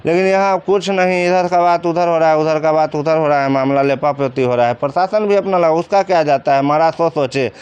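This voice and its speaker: Higher-pitched Bihari man, clear voice. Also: steady tone, fairly quick, few pauses, a little mellow, dull audio. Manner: colloquial, explanatory, poses and answers own questions. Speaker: commentator interviewed about crime cases. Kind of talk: informal spoken commentary in an interview.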